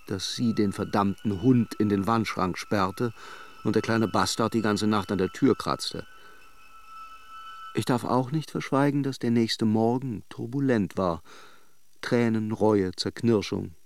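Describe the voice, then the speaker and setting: An actor playing a worldly, ironic middle-aged man who recalls an affair in a radio drama, with deliberate hesitations. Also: fairly low pitch, a little hiss or hum. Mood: wry, ironic. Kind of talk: radio drama monologue, narrated as a confession.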